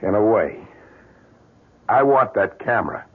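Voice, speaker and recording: An American actor playing a middle-aged, hard-boiled police inspector, gruff, blunt and suspicious, in a radio drama. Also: male voice, fairly low pitch, lively intonation, a little slow. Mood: insistent, bitter. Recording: muffled old radio broadcast.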